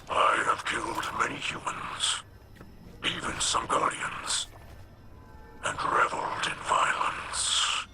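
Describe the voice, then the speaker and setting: An actor playing a grave, weary alien leader in a game cutscene, with a breathy, whispery rasp and a solemn, halting, formal delivery. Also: male voice, on the high side. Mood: remorseful, solemn. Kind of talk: dramatic game cutscene monologue. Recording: loud music under the voice.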